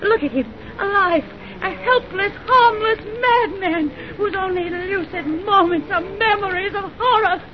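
An actress playing a vengeful, insinuating bride with deliberate theatrical delivery in an old-time radio suspense melodrama. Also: female voice, animated, a crowd in the background. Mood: vengeful, frenzied. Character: cold, vengeful bride trapping her brother's tormentor. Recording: muffled, noisy vintage radio recording with rumble.

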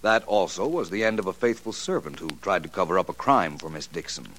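A suave, cerebral detective in an old-time radio mystery. He lays out his reasoning calmly in a fairly low voice, yet his pitch moves a lot as he talks. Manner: measured, explanatory. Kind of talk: 1940s radio acting.

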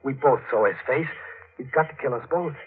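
A man's voice: mid-range voice, a little fast, natural voice. A man with an American accent playing an urgent, protective young bridegroom in a 1940s radio thriller, giving clipped commands and reassurances. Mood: tense, reassuring. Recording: tinny, noisy old radio broadcast.

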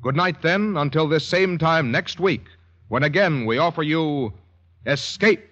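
A man announcing credits in formal, deliberate 1940s radio style. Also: a little high, a bit bright. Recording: dull 1940s radio recording.

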